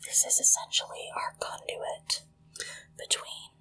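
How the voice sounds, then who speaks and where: Slow, whispering ASMR woman. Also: poor audio. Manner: hushed, slow, gentle, deliberate pauses. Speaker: ASMR host leading a witchcraft ritual. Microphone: close-up sensitive microphone.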